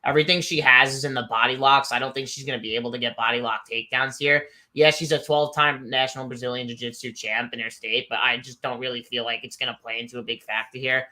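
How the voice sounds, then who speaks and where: A man's voice: mid-range voice, steady tone, fast talker, few pauses, pushed and bright. A man giving casual fight picks, blunt and rambling, leaning on "I feel like". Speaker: betting analyst guest.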